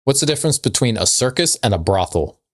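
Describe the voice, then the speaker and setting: A man's voice: medium pitch, sing-song, fast. A man co-hosting a comedy podcast, chatting casually and telling jokes in a laid-back, wry way. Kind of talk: casual banter and joke telling.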